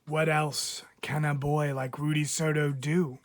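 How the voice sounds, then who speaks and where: A man reading a picture book aloud as a teacher would, expressively, with deliberate dramatic phrasing and frequent pauses. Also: a little high, level tone. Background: background music.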